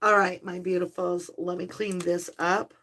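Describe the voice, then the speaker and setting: A woman hosting a live tarot stream, talking softly and affectionately to her viewers, her voice slightly hoarse and tired. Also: on the low side, little pitch movement, slight background noise. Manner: soft, slow, spiritual and affectionate, addressing viewers as lovelies. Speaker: tarot reader and channel host.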